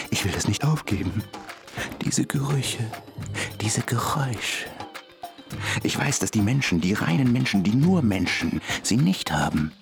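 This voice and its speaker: A male actor playing a wistful, lonely half-ape man in a brooding, halting radio drama monologue. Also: on the low side, gravelly, full character voice. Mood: wistful, longing. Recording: music playing behind the voice.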